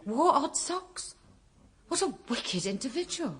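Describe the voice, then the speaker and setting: A withering British woman barrister, with a highish, very expressive voice. She cross-examines precisely and sarcastically, in radio comedy style. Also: unhurried, full character voice. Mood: sarcastic. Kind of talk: radio comedy drama acting.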